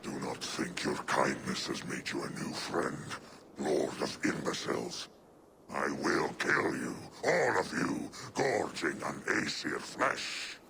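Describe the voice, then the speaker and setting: Monstrous, very deep gravelly wolf. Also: male voice, animated, exaggerated voice. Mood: vengeful contempt. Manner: slow, ominous, contemptuous. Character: giant monstrous wolf, male, bitter, menacing, vengeful. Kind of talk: dramatic video game acting. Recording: noisy game sound effects behind voice.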